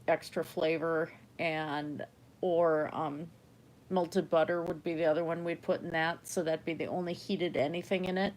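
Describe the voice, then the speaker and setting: A woman's voice: low voice, low-quality recording. Chatty middle-aged woman, German native. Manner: chatty, trails off softly, laughs often. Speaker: livestream art host. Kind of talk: casual rambling chat with viewers.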